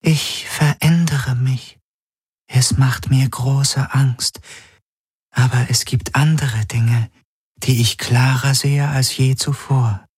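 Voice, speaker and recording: A man voicing a sorrowful, fading female spirit who speaks softly and half in whispers to her master. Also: mid-range voice, fairly even intonation, slow, slightly rough, no room sound, studio quality, pitched above the performer's own voice. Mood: sad. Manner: soft, pleading, partly whispered.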